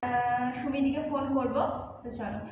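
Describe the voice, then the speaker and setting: A woman's voice: fairly high pitch, steady tone, moderate pace, darkish tone. A young woman vlogger talking casually, as in a prank call video. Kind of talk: casual vlog talk and prank phone call. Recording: roomy echo with faint background noise.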